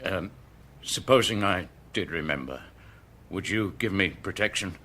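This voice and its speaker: A man's voice: low-pitched, animated, measured pace, bright, forceful tone, a little hiss or hum, heavily acted. A man acting in a British radio detective drama as a grudging, evasive lodging-house keeper who answers colloquially and defensively, with fear underneath. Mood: nervous.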